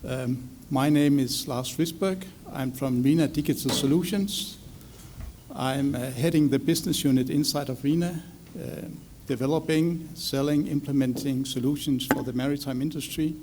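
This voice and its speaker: An older man from Denmark with a Danish accent, moderating a conference panel in a relaxed, self-joking way with many fillers. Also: mid-range voice, moderate pace, slight background noise.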